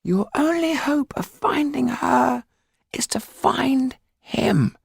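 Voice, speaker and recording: A man voicing a weary, urgent little older male companion in an audiobook, with a high, croaky, husky squeak and deliberate delivery. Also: lively intonation, no echo, studio quality, full character voice. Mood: grave, instructive.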